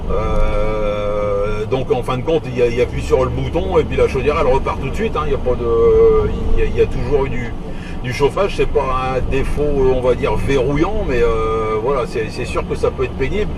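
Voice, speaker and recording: A man's voice: mid-range voice, moderate pace. A man in his forties chatting casually over CB radio, talking in a hesitant, repetitive, colloquial way. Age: 40s. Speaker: heating repair technician. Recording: distant mic, clear vehicle noise.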